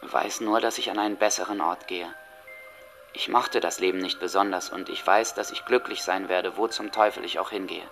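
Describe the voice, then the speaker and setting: A man's voice: deep voice, fairly even intonation, moderate pace, fairly bright tone, soft background music. A man playing a defiant, fatalistic teenage school shooter in a German audio drama monologue. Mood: defiant farewell.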